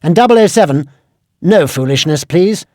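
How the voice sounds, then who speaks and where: An actor playing an exasperated older British gadget quartermaster in a video game, formal, precise and scolding. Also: male voice, on the high side, expressive, normal speed, darkish tone, no echo, studio quality, natural voice. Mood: officious briefing. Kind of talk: video game mission briefing.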